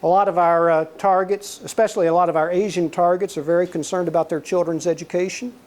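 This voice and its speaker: A man's voice: sits high, fast. An older man with a soothing voice giving a conversational lecture, telling anecdotes and acting out dialogue. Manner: relaxed storyteller, acts out quoted dialogue. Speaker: guest lecturer, former intelligence officer.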